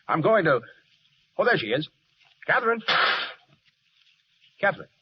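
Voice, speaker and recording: An actor playing a brash, lovestruck American lieutenant in a 1940s radio war drama, with an American accent. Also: male voice, high-pitched, big pitch swings, unhurried. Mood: urgent, impassioned. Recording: dull, hissy vintage radio recording.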